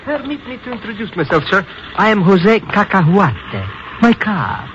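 High-pitched comic little schemer. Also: male voice, huge pitch swings, unhurried, fairly bright tone, heavily acted. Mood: glib sales patter. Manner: hammy, wheedling, glib patter. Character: fast-talking comic schemer, a cowardly petty secret agent. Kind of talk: 1950s radio drama acting. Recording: muffled, hissy old radio recording.

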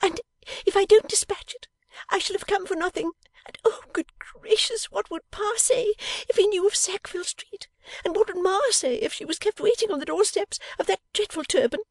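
Shrill teary silly young lady, all gush and sobs. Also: moderate pace, few pauses, no room sound. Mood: tearful gushing. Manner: breathless, tearful, gushing. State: sobbing. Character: silly tearful young lady, gushing and frantic.

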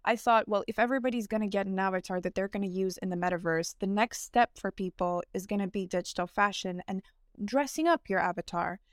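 A young woman talks through her answers in an earnest, easygoing way, pausing with fillers and restarting her sentences. Her voice is smooth and resonant at a middle pitch. The sound is dry and close, with no room around it. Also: no echo. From United States. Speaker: interview guest, fashion founder. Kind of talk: casual interview answers.

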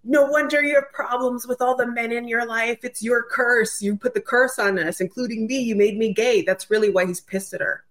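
A woman's voice: fairly high pitch, steady tone, normal speed, hardly any pauses, a bit bright. A woman speaking as an interview guest in casual chat, emphatic and colloquial, often checking that the listener follows. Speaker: podcast guest, writer.

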